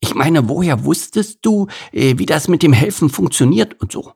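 A man's voice: fairly high pitch, lively intonation, moderate pace, gravelly, a little mellow, husky, pitched above the performer's own voice, exaggerated voice. A man voicing a puzzled, easygoing male beaver who grumbles and muses to himself, in a children's storybook reading. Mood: curious.

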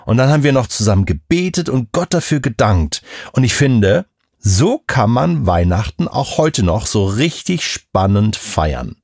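A man's voice: mid-range voice, sing-song, a little slow, darkish tone, dry recording, clean studio sound. A middle-aged man explaining things to a child in an emphatic, reassuring storytelling way. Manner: emphatic, reassuring, repeats key words. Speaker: expert guest explaining to a child.

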